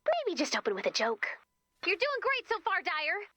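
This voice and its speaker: A woman's voice: very high voice, huge pitch swings, normal speed, sharp tone, exaggerated voice. A woman playing a peppy, encouraging young mission leader in a video game, chatty and coaxing. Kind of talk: video game character voice acting.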